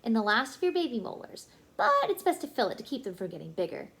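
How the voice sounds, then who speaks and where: A kindly male bear dentist from a children's storybook, as a woman reader plays him. He speaks in a measured, reassuring way, gentle with young patients, with varied pitch. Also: wildly swooping pitch, creaky, full character voice. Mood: calm.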